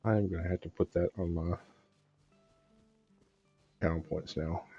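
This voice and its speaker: A man's voice: deep voice, fairly even intonation, a little slow, warm tone, slightly rough, dull audio, faint music behind. A man streaming a game, giving casual, offhand reactions over it.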